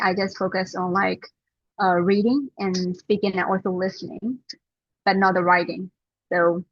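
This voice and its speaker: Husky young woman, dull lo-fi audio. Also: mid-range voice, no room sound. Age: 20s. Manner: relaxed, hedging with fillers. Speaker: guest. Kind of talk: casual interview answers.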